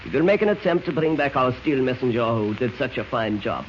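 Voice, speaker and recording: An actor playing a menacing, imperious spy mastermind in clipped, formal 1940s radio style. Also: male voice, mid-range voice, very animated, fairly quick, close to an everyday voice. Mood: impatient. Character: cold menacing male German spy mastermind. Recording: muffled, hissy old radio recording.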